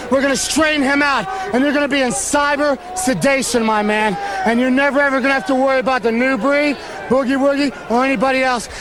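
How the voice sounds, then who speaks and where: A man cutting a shouted wrestling promo in a hyped sci-fi gimmick, taped from old TV. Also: very high voice. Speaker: pro wrestler. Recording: old TV tape with crowd behind. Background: crowd noise.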